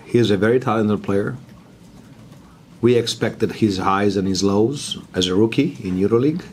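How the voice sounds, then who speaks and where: Man, low pitch, coach at a press table, emphatic, short answers. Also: moderate pace, slight background noise. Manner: blunt, emphatic, broken English phrasing. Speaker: basketball coach at a press conference.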